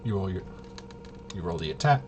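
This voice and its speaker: Low-voiced man, halting, over background noise. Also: dull audio. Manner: halting, trails off and self-corrects. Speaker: host. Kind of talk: casual rambling tabletop game rules walkthrough. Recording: clear steady background noise.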